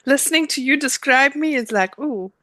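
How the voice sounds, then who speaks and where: A middle-aged South African woman tells her story as a guest in an interview. Her voice is bright and clear-cut, at a medium pitch for a woman. The sound is dry and close, and her delivery is conversational. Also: measured pace, no room sound.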